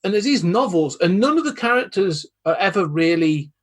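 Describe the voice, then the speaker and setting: A male podcast guest with a slightly raised pitch and a husky edge to his voice. He chats easily and explains ideas at length, restarting phrases as he goes. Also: lively intonation, a little fast. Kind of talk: casual chat.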